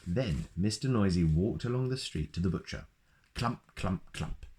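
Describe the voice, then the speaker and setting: A man's voice: low voice, animated, normal speed, warm tone. A man reading a children's picture book aloud in a playful way, adding sound effects. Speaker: storyteller.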